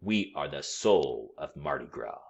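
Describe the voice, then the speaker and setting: A man's voice: very deep voice, sing-song, unhurried, heavily acted. A man voicing a proud, dedicated chief of a parading tribe in a storybook, with emphatic, chanting delivery. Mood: proud, earnest. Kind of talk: storybook character voice.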